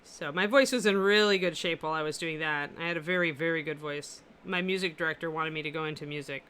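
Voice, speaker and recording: Bright-voiced woman chatting as she paints. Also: sits low, quick pace, clean tone. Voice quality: powerful, carrying voice. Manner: chatty, answers viewer comments as she works. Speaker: miniature painting instructor and livestream host. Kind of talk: casual livestream chat while painting. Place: her apartment.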